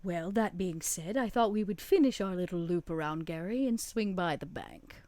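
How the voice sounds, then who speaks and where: A woman voicing a composed, sardonic female vampire in a tabletop roleplay, measured and dry-witted. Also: on the low side, lively intonation, darkish tone, no room sound, natural voice. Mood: confiding.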